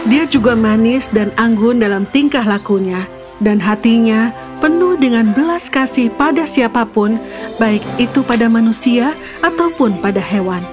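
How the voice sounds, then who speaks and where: A woman narrates a children's fairy tale in a fairly high voice. She reads from a script as the storyteller, over light background music. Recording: music behind the voice.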